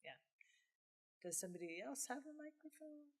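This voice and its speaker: A woman's voice: sits high, moderate pace. A woman speaking as a professor in an informal lecture question-and-answer, talking conversationally with acted-out asides and checking in with listeners. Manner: conversational, animated retelling with acted-out asides and frequent "right?". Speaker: professor giving a film lecture and taking audience questions. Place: film screening lecture venue with audience.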